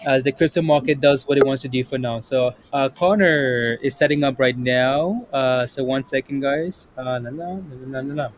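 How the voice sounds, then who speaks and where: A man's voice: medium pitch, normal speed, few pauses, a little hiss or hum. A man hosting a casual trading livestream, upbeat and chatty, often saying yep and there you go. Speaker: livestream host.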